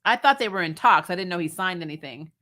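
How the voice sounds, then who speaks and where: A woman with a fairly low voice that has a bright, forceful edge. She talks like a livestream host in a casual fan chat, informal and blunt, with swearing mixed in. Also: expressive, fast talker. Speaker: host of a fan recap show.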